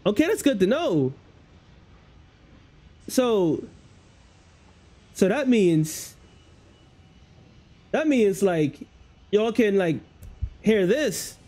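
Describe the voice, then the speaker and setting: A young man with a Bahamian accent talks to his stream viewers through a headset in a small room at home. His voice sits fairly high, and he rambles casually, repeating phrases as he wraps up. The tone is relaxed and conversational. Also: drawn-out words, pauses often. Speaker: game streamer. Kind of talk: casual livestream chat with viewers.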